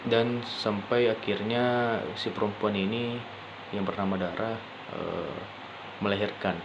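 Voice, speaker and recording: A young man giving an informal student presentation, speaking haltingly with frequent pauses and rephrasing. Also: lowish voice. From Indonesia. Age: young adult. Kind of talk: informal spoken presentation for a class assignment. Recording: dull lo-fi sound with clear background noise.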